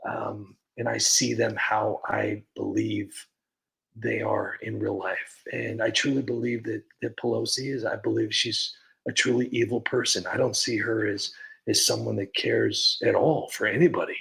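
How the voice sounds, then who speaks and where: A man's voice: fairly low pitch, little pitch movement, moderate pace, vocal fry, fairly bright tone, rough recording. A man hosting a comedy livestream, chatting casually and rambling with lots of fillers and restarts. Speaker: comedy channel host.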